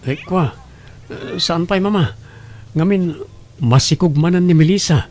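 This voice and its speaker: A man's voice: high-pitched, unhurried, darkish tone. A man acting a sullen, complaining young grown son in an Ilocano audio drama, with a plaintive way of talking. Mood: hesitant, sheepish. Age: young adult.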